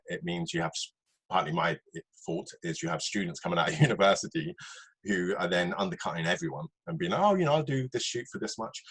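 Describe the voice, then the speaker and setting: A man hosting a podcast, chatting casually as he interviews a guest, with a husky voice. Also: fairly low pitch, fairly bright tone, rough recording.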